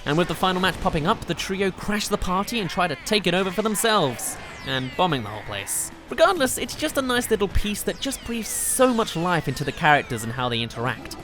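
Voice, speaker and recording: A man with a fairly high voice delivers scripted countdown commentary as a game reviewer. His delivery is animated and gushing, with informal phrasing. Game music plays clearly underneath him. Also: a little fast, hardly any pauses. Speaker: video game reviewer and narrator. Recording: loud music behind the voice. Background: game soundtrack music.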